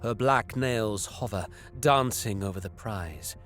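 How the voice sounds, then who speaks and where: Brooding, slow-spoken vengeful alien prince played by a man. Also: fairly low pitch, a bit bright, soft background music. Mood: tense, covetous. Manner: brooding, deliberate, dropping to hissed whispers. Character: young vengeful alien prince, covetous and bitter. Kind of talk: dramatic first-person audio drama narration.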